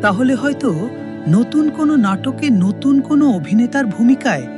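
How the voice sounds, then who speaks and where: A woman voicing a wise, serene holy sage in a cartoon moral tale, teaching in a solemn, measured manner. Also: a little high, expressive, over music, close to an everyday voice. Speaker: storyteller and teacher. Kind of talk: scripted cartoon moral storytelling.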